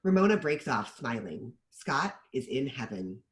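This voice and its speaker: A man reads screenplay stage directions as narrator. His mid-range voice moves with very lively intonation, in the style of scripted storytelling. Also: medium pitch, unhurried.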